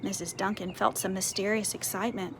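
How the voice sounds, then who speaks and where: A woman reading a picture book aloud to children outdoors, expressive, with conversational explanatory asides. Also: medium pitch, fairly quick. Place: outdoors on a porch. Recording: faint outdoor ambient noise.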